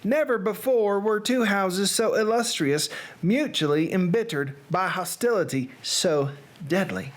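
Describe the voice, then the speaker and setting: A performer doing a Southern storyteller reading an old gothic horror tale. The delivery is slow and drawn out, with wide swings of pitch. Long, ornate words come haltingly. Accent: American Southern. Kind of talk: dramatic literary reading.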